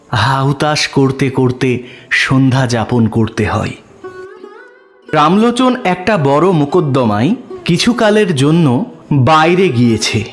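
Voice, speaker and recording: A man narrating a literary story in the first person, slow and measured, with his pitch dropping low at the ends of phrases. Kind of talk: scripted audiobook narration.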